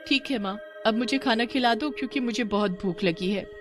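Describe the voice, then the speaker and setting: A woman's voice: on the high side, brisk, soft background music, lightly acted. A woman voicing an innocent young daughter in a cartoon, earnest and plaintive in manner. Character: innocent young daughter Aarti, caring and questioning.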